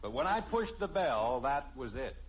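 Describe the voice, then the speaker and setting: A man's voice: medium pitch, very animated, normal speed, lightly acted. An American actor playing a middle-aged husband and father in an old radio family comedy, teasing and indignant but good-humoured. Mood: mock indignant. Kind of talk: mid-century radio sitcom acting. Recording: muffled, noisy old radio recording.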